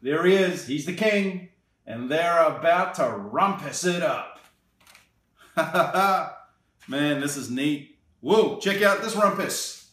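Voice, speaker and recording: A man reads a children's picture book aloud at an unhurried pace, his voice sitting fairly high and full of play. He stops for cheerful asides and small laughs between pages. The sound is roomy and a bit distant, picked up by a camera a few feet away. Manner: slow and expressive, with playful asides and laughs. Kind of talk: children's storytime read-aloud. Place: indoor room, seated in an armchair. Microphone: camera on a tripod a few feet away.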